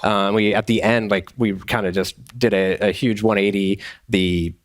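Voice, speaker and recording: Low-voiced man chatting on a panel. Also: fairly even intonation, moderate pace, dry recording. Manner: informal, says "like" often. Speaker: game developer on a panel. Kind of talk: casual panel discussion.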